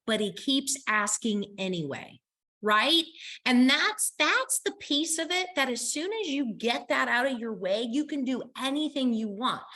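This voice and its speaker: A woman co-hosting a live online panel on a video call, enthusiastic and quick to praise a colleague. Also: high-pitched, pushed and bright.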